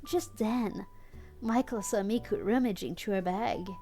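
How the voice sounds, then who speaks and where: A woman's voice: mid-range voice, unhurried, dark tone, faint music behind. A woman streamer doing a comedic live reading of fan fiction, chatting to her audience in asides, with a giggly manner. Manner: giggly, often breaking into laughter while reading.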